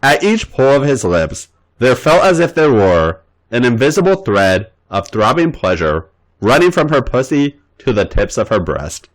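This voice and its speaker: Expressive male story reader. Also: mid-range voice, moderate pace, a little hiss or hum, low-quality recording. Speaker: podcast host and reader. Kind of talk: reading fan fiction aloud.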